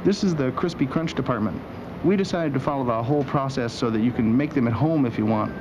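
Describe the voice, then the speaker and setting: Male documentary host, mid-pitched, deadpan scripted narration, dry humor, muffled old video sound, noticeable machinery noise. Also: fast talker. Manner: wry, deadpan.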